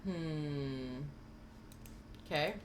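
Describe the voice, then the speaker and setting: A woman's voice: low-pitched, extremely slow, slight background noise. A woman game streamer giving casual commentary with wry, reactive asides, close on the mic. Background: game music.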